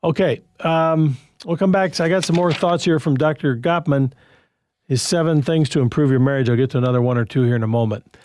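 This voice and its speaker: A man's voice: a little high, fairly quick, darkish tone, slight background noise. A man hosting a call-in advice show on the radio, talking in a loose, conversational way and chuckling often. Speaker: radio host.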